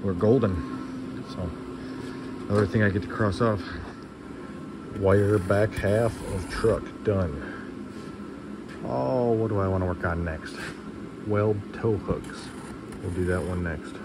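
A man's voice: on the low side, slow, pauses often, heavy background noise. A man casually narrating his truck repair as he works in a large garage workshop, thinking aloud on a body camera. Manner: relaxed, thinking aloud while working. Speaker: vlogger restoring an old truck. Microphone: handheld or body camera.

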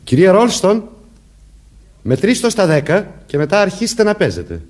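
A man's voice: high voice, wildly swooping pitch, a little mellow, exaggerated voice. An actor playing a murderer who poses as a commanding policeman, vengeful and childlike underneath, in a theatrical radio drama manner. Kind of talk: Greek radio drama acting.

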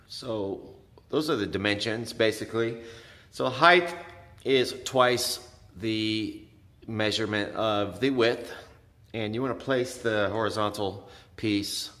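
A man in his forties gives a casual how-to in a slow, halting way, thinking aloud as he goes. His mid-pitched voice echoes around the room, with faint noise behind it. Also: a bit bright. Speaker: DIY presenter. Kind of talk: casual how-to narration to camera. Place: garage, later outside by a house. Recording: roomy echo, faint background noise.

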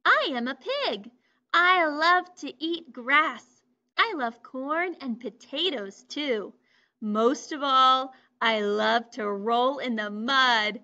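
A woman's voice: high-pitched, slow pace, pushed and bright, full character voice. A woman reading aloud as a cheerful farm pig who loves mud, ending with a squealy oink. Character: happy muddy farm pig. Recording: muffled lo-fi recording.

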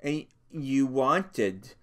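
A man reading an audiobook, voicing a big, slow-witted, childlike ranch hand who stammers and halts as he speaks. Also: mid-range voice, expressive, a bit bright, voice pushed up. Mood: pleading. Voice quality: stammering, halting.

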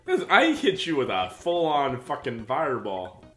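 A man hosting casual gameplay commentary, joking, swearing, and breaking into high-pitched laughter. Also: wildly swooping pitch, moderate pace. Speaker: gaming channel host. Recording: roomy echo with game music behind. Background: game music.

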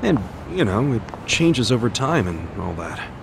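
An actor playing a scrappy, earnest video game hero who talks in a casual, plain-spoken way. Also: male voice, medium pitch, big pitch swings, a little slow, creaky, noisy background. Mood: easygoing. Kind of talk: naturalistic video game voice acting.

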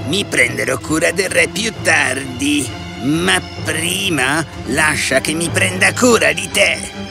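A man playing an evil old shape-shifting mountain sorcerer with a gravelly, menacing voice in a children's fairy tale. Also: a little high. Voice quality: gravelly, creaky. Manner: slow, menacing. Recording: loud music behind. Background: loud music.

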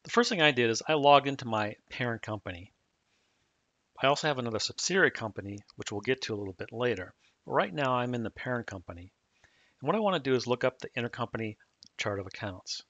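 A man calmly explaining software setup step by step in a screen-recorded tutorial. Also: medium pitch, fast, no room sound. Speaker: software trainer. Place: at a computer, voice-over on a screen recording. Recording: dull dry mic audio.

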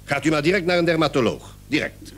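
An actor playing an irritable, self-righteous doctor in a radio play, pompous and scolding. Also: male voice, on the high side, expressive, fast talker, a bit bright. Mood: exasperated.

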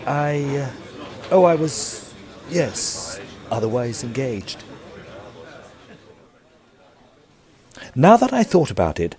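An actor with a British accent playing a peevish, smitten Edwardian gentleman ghost hunter, narrating formally in an audio drama. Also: mid-range voice, slightly rough. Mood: flustered, peeved. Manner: formal, stiffly proper, fussy.

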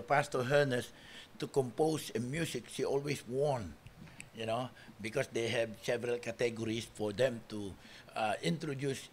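A middle-aged man preaches at a church pulpit. His voice is fairly high with a strong creak, and he rambles informally through his remarks. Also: a little hiss or hum. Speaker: guest preacher, pastor. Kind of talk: informal sermon opening with anecdotes. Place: small church hall, at pulpit. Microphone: pulpit microphone.

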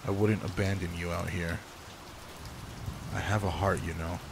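A gruff alpha werewolf, played by a man, talks low and close in a roleplay audio drama. His voice is gravelly, slow and commanding, with a guarded, caring, teasing side. Faint rain runs behind him. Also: flat delivery, background noise. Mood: brisk, caring.

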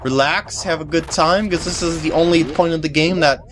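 A woman's voice: sits low, moderate pace, a little hiss or hum. A woman giving gameplay commentary as she plays, with joking, sarcastic asides. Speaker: video game walkthrough commentator. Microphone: compact camera microphone. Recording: game music behind voice.

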